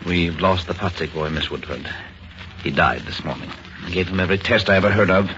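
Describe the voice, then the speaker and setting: An actor playing a puzzled, defeated country doctor in an old radio drama, speaking in a measured, earnest way. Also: male voice, low voice, over music, natural voice. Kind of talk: 1930s radio acting. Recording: hissy dull old radio recording.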